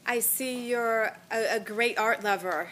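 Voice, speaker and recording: A woman's voice: fairly high pitch, measured pace, pushed and bright, a little hiss or hum. An actress playing a polite, easily embarrassed middle-aged mother on a theater stage. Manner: polite, flustered. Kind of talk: stage play acting. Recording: roomy stage sound.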